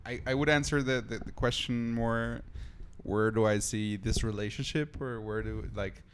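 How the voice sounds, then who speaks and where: A man's voice: mid-range voice, steady tone, normal speed, a little hiss or hum. A young adult man in a casual, joking podcast conversation among friends. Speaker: podcast participant.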